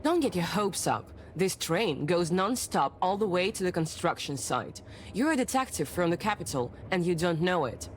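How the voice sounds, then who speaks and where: A woman's voice: fairly low pitch, very animated, moderate pace, a little hiss or hum. A woman playing a bold, imperious young journalist in a period visual novel, haughty and assertive. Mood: haughty, defiant. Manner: haughty, assertive, crisp commands.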